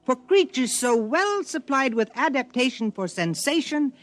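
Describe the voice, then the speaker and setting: An actor in an old-time radio drama playing a calm alien shapeshifter who speaks in formal, deliberate phrases. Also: high-pitched, full character voice. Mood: grave explaining. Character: calm alien shapeshifter explaining its kind to humans.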